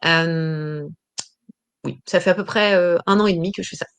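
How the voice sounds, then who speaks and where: A woman's voice: lowish voice, moderate pace, clear, resonant tone. Hesitant woman guest, lots of fillers. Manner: hesitant, many fillers and restarts. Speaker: business coach, guest. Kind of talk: interview answers.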